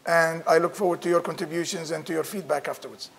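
A middle-aged man opens the event from a podium microphone in a lecture room. His voice sits a little high and carries a gravelly edge, and his delivery is formal with small restarts. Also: fairly even intonation, fast, heavy background noise. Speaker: host introducing a speaker. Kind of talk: formal introduction.

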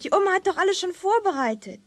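A woman's voice: very high-pitched, lively intonation, fast talker. A female performer playing a bossy, exasperated schoolgirl older sister who scolds in a children's radio play. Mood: scolding.

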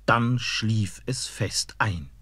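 A man narrating a fairy-tale radio play in an expressive storytelling manner. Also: fairly low pitch, drawn-out words, noisy background.

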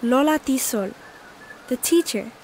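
An actress playing a sympathetic, curious twelve-year-old girl with a hesitant, gentle way of talking. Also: high voice, expressive, a little slow, heavy background noise. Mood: sympathetic, encouraging. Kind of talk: audio drama acting.

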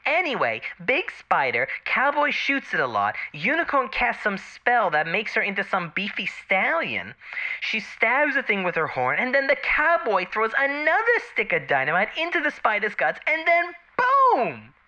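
A woman voicing an excitable mare receptionist who rambles casually through a dramatic eyewitness account in a fan audio drama. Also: fairly high pitch, normal speed, exaggerated voice. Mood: awed, excited. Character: excitable peach-colored pony mare receptionist, chatty eyewitness. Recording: dull lo-fi radio-like sound.

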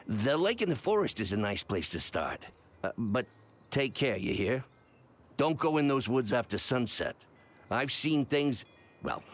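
Folksy old fisherman, swooping intonation. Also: on the low side. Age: older. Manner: folksy, clipped words, cautionary. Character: folksy old fisherman stallkeeper, gruff but kindly. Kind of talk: video game character dialogue.

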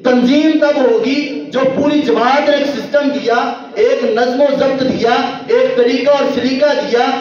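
Young man, high voice, lecturing a hall from a podium, heavy echo, lo-fi distant mic. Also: fairly even intonation, unhurried, a little mellow. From Pakistan. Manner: explanatory, building points with repeated parallel phrases; gesturing with both hands while speaking. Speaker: speaker at an organizational convention. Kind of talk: lecture to an audience. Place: indoor convention hall with banner behind. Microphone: stand mic at a podium.

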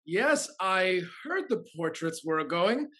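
A loyal old steward of period stage comedy, played by a man, anxious and earnest. He gently scolds with widely swinging intonation. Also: high-pitched, very animated, slow pace, exaggerated voice. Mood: concerned, chiding. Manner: earnest, admonishing. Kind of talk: period comedy stage acting over video call. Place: indoors at home, video call.

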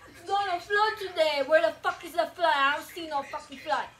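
A woman's voice: very high voice, fairly quick, a bit bright. A young woman ranting to her phone camera in a small bedroom, profane and confrontational, moving around as she talks. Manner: profane, confrontational, restless, moves around while talking. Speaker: vlogger. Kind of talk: angry profane rant to camera. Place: small cluttered bedroom, indoors. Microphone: phone camera, often far away. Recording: distant phone audio with clear background noise.

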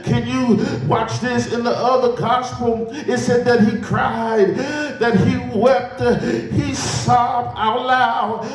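Echoey high-voiced male preacher, slow and emphatic. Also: level tone, far from the mic. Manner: emphatic, repetitive phrasing with hallelujah interjections. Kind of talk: sermon. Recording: echoey room, picked up from afar.

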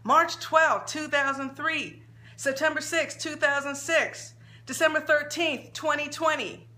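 Story character: woman performing an unstable mother, high and bright, childlike, insistently pleading. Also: steady tone, unhurried. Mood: wailing. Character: troubled mother in a little girl's voice, insistent, delusional.

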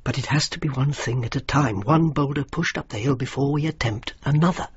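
An actor playing an earnest, dutiful British general with an English accent and a measured, formal, diplomatic way of speaking in a radio drama. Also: male voice, medium pitch, natural voice. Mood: concerned, resolute. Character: earnest, dutiful British general defending his war graves commission. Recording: dull, noisy radio drama recording.

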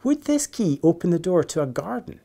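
A man's voice: sits high, very animated, brisk, creaky, warm tone, pitched above the performer's own voice, heavily acted. An earnest schoolgirl from a children's storybook, played by a man reading aloud. She speaks with naive seriousness. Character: earnest, wondering, gloomy schoolgirl.

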